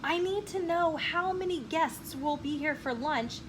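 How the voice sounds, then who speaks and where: A harried storybook noblewoman read by a woman, practical but fretful. The voice sits fairly high. Clear ambient noise surrounds it. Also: measured pace. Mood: flustered. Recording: clearly noisy room with ambient sound.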